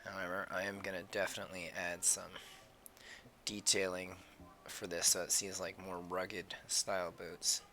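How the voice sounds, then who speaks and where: A man live-streaming his sketching, mumbling his thoughts aloud as he draws, a little hoarse from a cold. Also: sits low, flat delivery, moderate pace, sharp tone, a little hiss or hum. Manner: mumbled thinking aloud, trailing off. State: slightly hoarse, losing his voice. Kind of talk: casual talk while drawing.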